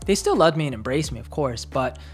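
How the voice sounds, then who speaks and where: Brief: deep-voiced US woman, reflective, filler-heavy casual podcast co-host. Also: animated, brisk, faint music behind. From United States. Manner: reflective, talks in long searching sentences with many fillers. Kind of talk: casual chat.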